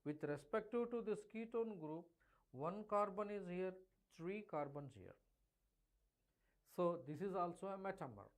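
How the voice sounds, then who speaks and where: A middle-aged Indian man teaching chemistry in a live online revision class. His voice sits high for a man, and he speaks with emphasis, calling out to his students directly. Now and then he slips into Hindi phrases. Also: animated, unhurried, long pauses. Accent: Indian English.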